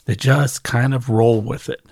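A man speaks by himself in a very dry recording with little room sound. He has a mid-range voice and talks off the cuff like a podcaster. His delivery is conversational and thoughtful, using pauses and repeated phrases for emphasis. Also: medium pitch, fairly even intonation, darkish tone, husky, no room sound. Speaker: podcast host and newsletter writer. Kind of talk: casual reflective solo monologue, off the cuff.

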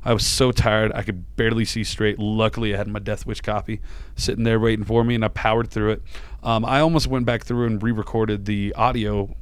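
Tired rambling man with a smooth voice. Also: on the low side, level tone, brisk, no echo. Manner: rambling, with many fillers and restarts. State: tired, voice a little dry. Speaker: podcast host. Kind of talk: casual podcast chat and interview.

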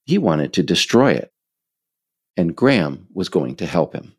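An American man reading scripted narration as the author of a book, in a measured, emphatic storytelling manner. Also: low-pitched, sing-song, husky.

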